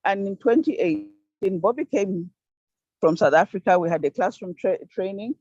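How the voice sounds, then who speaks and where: An older woman from Zambia giving an earnest, deliberate talk on an online conference call, stressing key words. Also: medium pitch, expressive, darkish tone. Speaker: panel speaker. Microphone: video call. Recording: dull dry video call audio.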